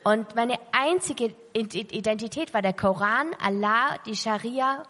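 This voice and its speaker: A woman's voice: fairly high pitch, unhurried, pushed and bright. A woman works as a live interpreter, relaying a sermon phrase by phrase. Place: large reverberant hall, beside the preacher. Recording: long hall reverb.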